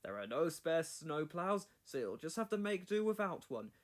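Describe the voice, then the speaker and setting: A formal railway controller from a children's storybook, acted out by a man. He gives instructions firmly and with authority, in an animated voice. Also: fairly high pitch, moderate pace. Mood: firm, kindly.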